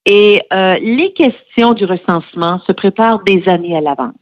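A woman's voice: medium pitch, normal speed, no room sound. A middle-aged woman speaking as a radio interview guest, explaining things earnestly with frequent hesitations. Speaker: radio guest, head of a francophone federation. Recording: slightly muffled, dry recording.

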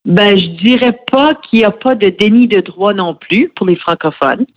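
A middle-aged woman answers interview questions in an earnest, explanatory way, pausing often on fillers. Her voice sits at an ordinary pitch. The recording is a bit dull and muffled, with a dry, close sound. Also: no echo. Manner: earnest, explanatory, with frequent hesitations. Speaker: radio guest, head of a francophone federation.

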